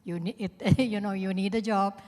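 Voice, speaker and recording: A woman with a medium-pitched voice speaks as a writer on a live panel. Her delivery is conversational and frank, worked out as she goes. Long reverb from the hall surrounds her. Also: fairly even intonation, normal speed, darkish tone. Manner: candid, plain-spoken, conversational. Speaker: author answering questions. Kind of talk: unscripted panel answer. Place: large indoor event hall at a hotel.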